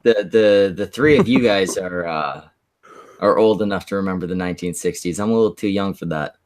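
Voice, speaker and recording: A man from Canada in a crude casual chat, deadpan and halting, mocking himself. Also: deep voice.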